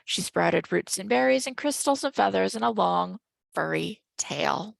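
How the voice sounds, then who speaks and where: Female storybook narrator, expressive read-aloud for children, heavy vocal fry, dry close sound. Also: medium pitch, measured pace, dry recording. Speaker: storybook reader at a school.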